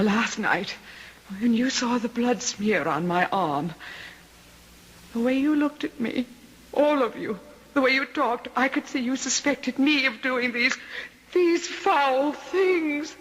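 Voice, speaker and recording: An actress playing a distraught servant woman in a radio drama, halting and pleading with melodramatic delivery. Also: high voice, lively intonation, slightly rough, big-room sound, heavily acted, low-quality recording. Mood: shaken, defensive. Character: distraught servant woman protesting her innocence. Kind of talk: old-time radio drama acting.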